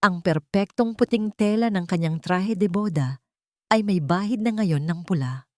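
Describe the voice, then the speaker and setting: A woman's voice: mid-range voice, moderate pace, no echo, studio quality. A woman reading a scripted love story as a dramatic narrator.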